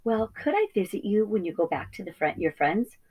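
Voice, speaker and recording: A woman voicing an eager, polite young male piglet who asks questions excitedly in a storybook reading. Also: mid-range voice, a little fast, breathy edge, dull audio, pitched above the performer's own voice. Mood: eager, shy.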